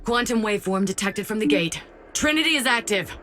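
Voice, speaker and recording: A woman playing a composed, solemn mothership navigator in a sci-fi game, giving calm, measured status announcements. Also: mid-range voice, lightly acted. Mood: focused, serious. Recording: game audio under music. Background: music.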